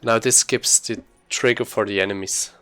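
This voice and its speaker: A man giving casual live commentary as he plays a game, haltingly thinking aloud. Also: lowish voice, steady tone, bright, forceful tone, smooth, faint music behind. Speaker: speedrunner commentating gameplay.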